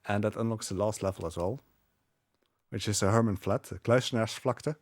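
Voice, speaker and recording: A man's voice: on the low side, brisk, no echo, studio quality. A man giving easygoing, conversational live commentary as he plays through a game. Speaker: speedrunner playing and commentating. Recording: game music behind.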